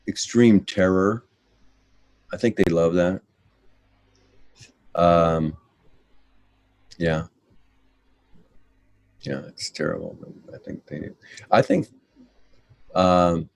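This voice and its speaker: A man in casual conversation, telling a story with jokes and drawn-out exclamations. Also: low voice, vocal fry, a little mellow, dry recording.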